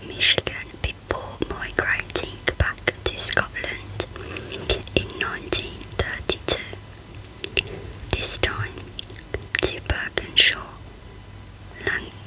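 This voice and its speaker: A woman hosting a true crime channel, reading out a case in a hushed ASMR whisper. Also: slow pace, long pauses, low-quality recording.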